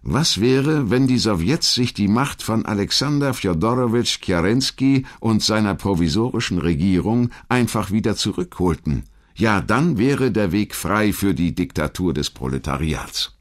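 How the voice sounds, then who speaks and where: A man reading scripted documentary narration in a measured, dramatic storytelling manner. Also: low voice.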